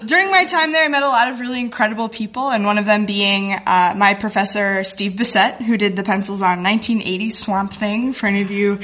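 A woman's voice: mid-range voice, fairly even intonation, fairly quick, hardly any pauses, a bit bright. A woman telling a humorous story live to an audience, in a wry, self-deprecating, facetious way. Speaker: guest cartoonist telling a story. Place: live event in a room with an audience.

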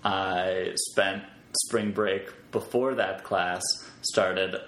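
Low-voiced man in an echoey room. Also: steady tone, very slow, a bit bright. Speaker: podcast guest, web developer. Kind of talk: casual conversational interview. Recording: roomy echo, clear background noise.

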